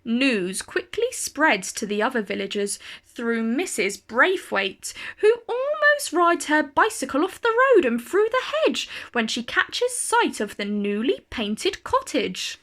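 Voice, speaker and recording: A woman reading a children's picture book aloud as a storyteller, with deliberate phrasing and dramatic emphasis. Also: high voice, very animated, fairly bright tone.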